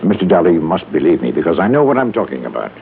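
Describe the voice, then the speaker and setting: A prim psychologist in a vintage radio drama. His deep voice swings through pompous, precise, earnest pleas. It comes over a thin, narrow-band recording. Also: sing-song, racing, vocal fry, a little hiss or hum, exaggerated voice. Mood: earnest, lecturing. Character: prim, indignant, pedantic male psychologist pleading earnestly. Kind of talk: old-time radio drama acting.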